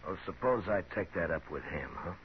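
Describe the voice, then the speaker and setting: A man playing a calm, steely frontier marshal in a radio Western, laconic and courteous, with an American accent. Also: deep voice, fairly quick. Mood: firm. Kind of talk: 1950s radio Western acting. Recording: muffled, hissy 1950s radio recording.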